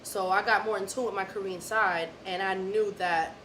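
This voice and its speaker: Female American interview guest, young adult, casual slangy talk, medium pitch, roomy sound. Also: fairly even intonation, moderate pace, a bit bright. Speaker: musician being interviewed. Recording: echoey, noisy room.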